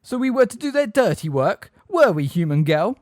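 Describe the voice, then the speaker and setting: A man's voice: medium pitch, sing-song, fairly quick, a little mellow, no room sound, studio quality, close to an everyday voice. A man voicing a hard, bitter grey centaur in a storybook, scornful and angry, with a bellowing delivery. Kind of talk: audiobook character acting.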